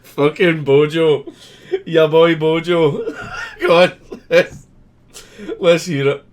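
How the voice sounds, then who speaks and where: A man's voice: fairly high pitch, takes it slowly. A man giving casual commentary as he reads a news article aloud, swearing freely in an amused, sardonic manner. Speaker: host.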